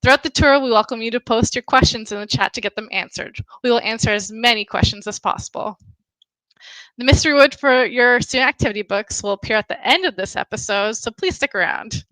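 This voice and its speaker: Woman host of a virtual school event. Bright, slightly high voice, dry and close. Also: fairly quick, no echo, low-quality recording. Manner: upbeat, clear announcements. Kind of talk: scripted live-stream hosting.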